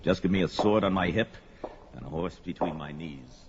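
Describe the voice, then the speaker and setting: An actor playing a brawny young barbarian warrior in an audio fantasy drama, blunt and heroic. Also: male voice, low voice, animated, normal speed, noisy background. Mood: bold. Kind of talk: audio sword-and-sorcery drama acting. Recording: dull lo-fi audio with music.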